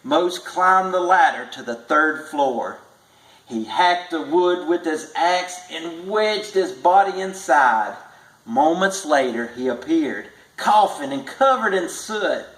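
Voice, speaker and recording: A man reading a tall tale aloud as a storybook narrator, measured and with stretched emphasis. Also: a little high, lively intonation, fairly bright tone. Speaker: storybook reader. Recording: echoey room.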